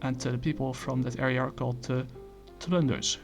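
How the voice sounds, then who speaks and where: A man casually reviewing a comic book, talking in a halting way with many fillers and restarts. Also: medium pitch, steady tone, soft background music.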